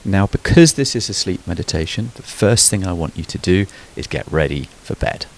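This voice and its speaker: A man leading a guided sleep meditation, giving soothing, unhurried instructions with frequent pauses. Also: low-pitched, lively intonation, slight background noise, low-quality recording. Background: background music.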